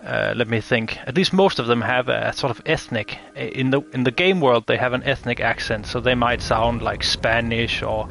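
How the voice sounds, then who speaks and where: Creaky-voiced man thinking aloud. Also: medium pitch, moderate pace, few pauses, a bit bright. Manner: hesitant, tentative, thinking aloud. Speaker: interviewer researching game voices. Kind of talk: casual analytical discussion.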